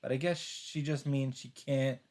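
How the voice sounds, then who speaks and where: A man's voice: mid-range voice, fairly even intonation, measured pace, darkish tone. Wry man commenting on a game playthrough.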